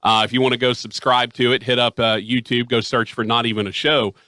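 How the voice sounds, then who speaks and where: A man's voice: medium pitch, little pitch movement, a little fast, bright, forceful tone, no echo. A middle-aged man hosting a sports talk radio show, chatting casually in an interview, close on the mic in a small studio. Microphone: headset or studio mic, close up.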